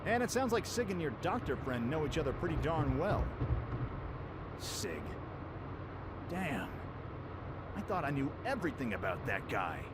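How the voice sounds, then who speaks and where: A man's voice: on the high side, big pitch swings, a little slow, pauses often, very noisy. A man playing a brash young pirate lord in a fan radio play, casual and cocky in manner. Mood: sheepish, puzzled. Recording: music behind the voice.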